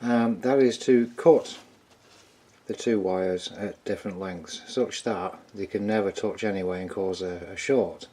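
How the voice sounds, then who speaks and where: Low, mellow man thinking aloud. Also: a little slow, husky. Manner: informal, thinking aloud, tentative. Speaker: hobbyist repairer presenting own video. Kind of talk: casual hands-on repair commentary.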